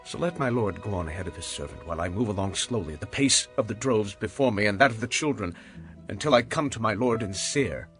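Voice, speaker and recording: An actor playing a humble, deferential biblical patriarch who speaks earnestly in a dramatized audio Bible. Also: male voice, lowish voice, lively intonation, quick pace, fairly bright tone, faint music behind. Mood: gently deferential.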